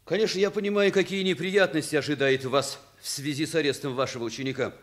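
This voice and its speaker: An actor playing a sceptical, principled police officer with a dry, ironic, questioning manner, in a Soviet radio drama. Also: male voice, on the high side, steady tone, fast, fairly bright tone.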